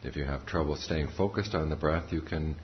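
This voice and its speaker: A man giving guided meditation instruction as a teacher, calm and measured, pausing between short phrases. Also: extremely low pitch. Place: meditation center talk. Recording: muffled recording with faint background noise.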